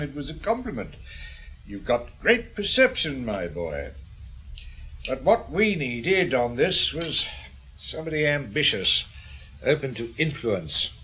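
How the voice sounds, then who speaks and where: Older British ex-spy for radio drama: husky voice, reflective, loyal, gently teasing. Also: male voice, medium pitch, heavily acted, poor audio. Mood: wry, teasing. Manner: musing, measured, gently teasing. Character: wry, reflective older British ex-intelligence officer, loyal, world-weary. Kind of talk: naturalistic radio drama acting.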